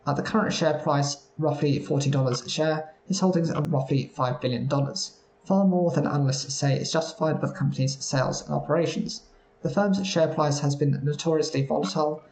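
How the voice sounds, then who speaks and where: A man reading news stories aloud at a steady pace, sometimes stumbling over words. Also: on the high side, brisk, roomy sound, a little hiss or hum, low-quality recording. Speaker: news reader.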